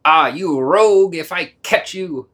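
Casting: self-righteous scientist master played by a man, indignant and theatrical, very animated pitch, for a radio play. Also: normal speed. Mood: indignant, scolding. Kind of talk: amateur radio play reading.

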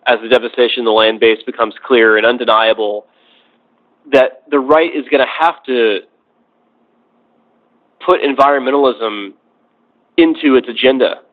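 Through a thin, phone-quality connection, a man of medium pitch offers political commentary in conversation. He is reflective and measured, laying out each point one phrase at a time. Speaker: podcast discussion guest. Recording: tinny phone-like line.

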